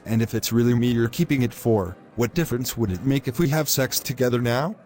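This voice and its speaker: A man acting a pushy, low-voiced selfish boyfriend over music. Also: fairly quick, a little mellow, lightly acted. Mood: insistent, aggrieved. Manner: formal wordy phrasing, insistent. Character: pushy, selfish young boyfriend pressuring his girlfriend. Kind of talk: scripted moral-story dialogue.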